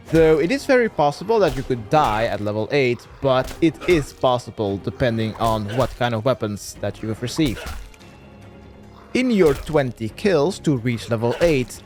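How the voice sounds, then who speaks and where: A man's voice: medium pitch, a little mellow. A man narrating an instructional video game walkthrough in a step-by-step manner. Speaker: gaming guide creator.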